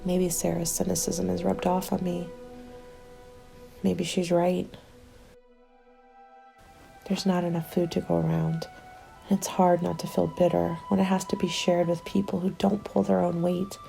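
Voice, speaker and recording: Over background music, a woman plays a hungry, bitter female survivor of a zombie apocalypse in an ASMR audio drama. The voice is hushed and mellow, with very heavy creak. It often thins into a breathy whisper. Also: fairly low pitch, flat delivery, measured pace, long pauses, low-quality recording. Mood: bitter, conflicted. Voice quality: creaky, soft. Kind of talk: ASMR dramatic roleplay monologue. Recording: music under the voice.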